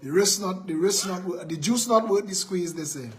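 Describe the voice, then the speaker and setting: A man's voice: high-pitched, moderate pace. A man with a Trinidadian accent hosting a political live-stream, speaking emphatically and repeating phrases for effect. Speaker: live show host.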